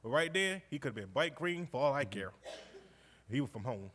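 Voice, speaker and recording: An actor playing a jovial, warmhearted World War II veteran from Georgia with a Southern accent, spinning his memories in a folksy, exuberant way on stage. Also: mid-range voice, expressive, normal speed. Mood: reminiscing, earnest. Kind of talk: live stage storytelling monologue, conversational. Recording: live stage recording in recreation hall.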